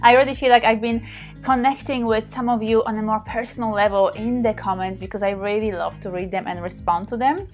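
A woman's voice: fairly high pitch, steady tone, a little fast. Woman in her 30s, Polish accent, open chatty to-camera talk, faint music under. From Poland. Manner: warm, open, laughs often, gestures while talking. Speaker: sex therapist, channel host. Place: small bedroom, indoors.

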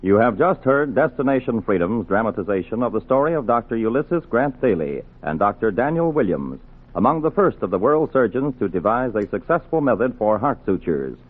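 A man's voice: medium pitch, sing-song, a little fast. A man announcing on 1940s radio, reading scripted material in a formal, declamatory style. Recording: tinny, band-limited 1940s radio broadcast.

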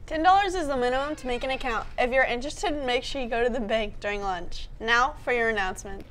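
A woman's voice: on the high side, fast, fairly bright tone, slight background noise, poor audio. A teenage American young woman anchoring scripted school news announcements. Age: teens. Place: indoor school studio.

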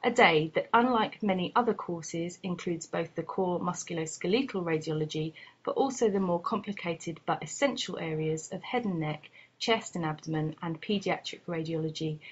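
A woman's voice: on the low side, slight background noise. A woman who is a doctor and course lead reads a scripted promotional narration in a formal, articulate way. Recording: muffled lo-fi voice-over.

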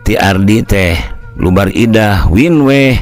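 A man's voice: fairly low pitch, animated, slow pace, soft background music. A man with a Sundanese accent voicing a polite village man who relays gossip respectfully in an audio folk story. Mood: gossipy, respectful. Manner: deferential, chatty, storytelling.

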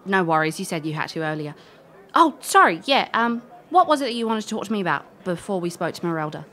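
A woman's voice: fairly low pitch, big pitch swings, fast talker, sharp tone. A woman playing a cocky, wry private investigator in an audio drama, casual and confident. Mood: assured. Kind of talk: audio drama acting and narration.

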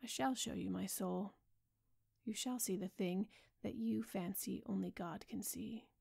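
A woman's voice: mid-range voice, a little slow, vocal fry, darkish tone, husky, exaggerated voice. A woman reading the part of a proud, insolent young man who mocks his older friend, speaking in a hard, scornful way. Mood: mocking.

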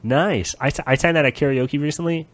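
A man's voice: a little high, fairly quick, no echo. A man co-hosting a comedy podcast in casual chat, joking and prone to playful singing. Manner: jokey, often breaks into playful singing. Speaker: podcast co-host.

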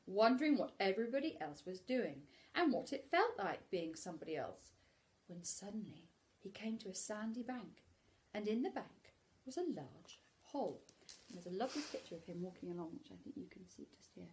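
A middle-aged woman, a teacher, gently reading a bedtime story aloud to young children from her living room on a laptop camera. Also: mid-range voice, sing-song, fairly quick.

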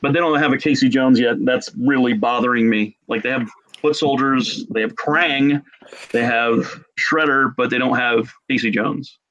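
A man's voice: mid-range voice, fairly even intonation, normal speed, a bit bright. A man in his forties telling casual stories in a chatty, jokey way over a video call. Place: home room. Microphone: computer mic on a video call. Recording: dull video-call audio.

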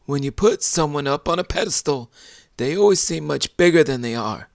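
A man's voice: a little high, lively intonation, moderate pace, gravelly, dry recording, studio quality, pitched above the performer's own voice. A man voicing a whimsical, confident animal puppet sidekick in children's banter. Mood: self-assured.

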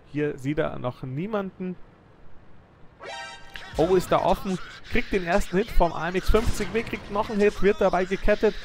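A young man giving lively, excited play-by-play commentary over a tank game replay, praising the moves as he goes. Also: sits high, moderate pace, pauses often, over music.

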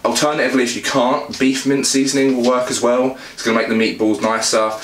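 A man's voice: mid-range voice, little pitch movement, fast, a bit bright. A young man with a British accent casually explains cooking to camera in an informal, plain-spoken way as a fitness vlogger. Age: young adult. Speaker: fitness vlogger and meal prep host. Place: small home kitchen, indoors. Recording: echoey kitchen, clear background noise.

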